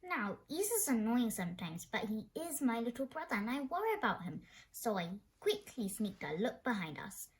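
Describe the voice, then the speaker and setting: A woman's voice: fairly high pitch, big pitch swings, moderate pace, few pauses. A woman reading a children's story aloud expressively, now and then stumbling over a word. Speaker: storybook reader.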